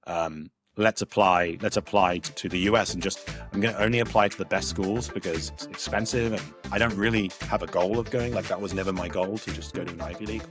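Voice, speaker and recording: A man's voice: sits low, steady tone, fairly quick, few pauses, faint music behind. A young man from Hong Kong, a podcast guest, tells his life story in a rambling, reflective way with many restarts. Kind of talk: casual storytelling interview.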